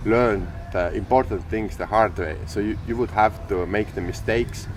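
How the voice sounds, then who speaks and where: Low-voiced man on a noisy street. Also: normal speed. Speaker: podcast co-host, entrepreneur. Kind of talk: casual conversation. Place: outdoors in sunny city street. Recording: clear street and traffic noise.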